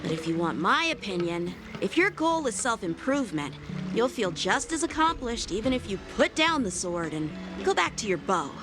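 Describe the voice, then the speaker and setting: A woman playing a polite, earnest teenage boy swordmaster in video game voice acting, speaking in a formal, measured way. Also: mid-range voice, big pitch swings, natural voice. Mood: gently teasing. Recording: game music behind the voice. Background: game background music.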